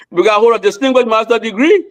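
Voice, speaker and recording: A man's voice: very high-pitched, fairly quick. A man with a Liberian accent, a lawyer debating on a talk show, often repeating his words. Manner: repeats words, courteous to seniors.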